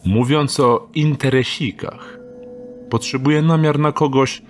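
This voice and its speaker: A man's voice: steady tone, measured pace, natural voice. An actor playing a weary male thief in a radio drama, speaking curtly and dryly. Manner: curt, dry, low-key. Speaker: thief Dieter. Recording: radio drama with music behind.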